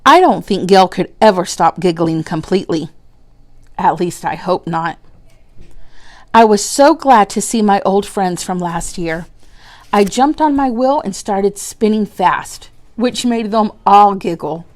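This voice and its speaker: Woman, teacher, children's storybook narration, very slow pace, mid pitch, deliberate, expressive. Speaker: teacher reading a children's book aloud.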